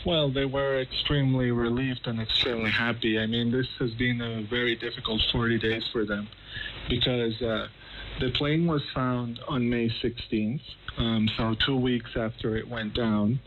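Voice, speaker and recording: A man's voice: mid-range voice, steady tone, moderate pace, a bit bright, low-quality recording. Male correspondent, conversational and explanatory with some hesitation; shortwave radio with faint background noise. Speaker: journalist interviewed as correspondent. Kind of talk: explanatory live news interview.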